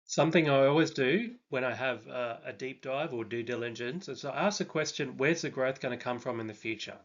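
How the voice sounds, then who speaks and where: A man's voice: mid-range voice, a little fast, highs cut off. Hesitant man talking stock picks. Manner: hesitant, many ums and restarts. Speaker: stock channel host. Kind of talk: casual stock analysis monologue.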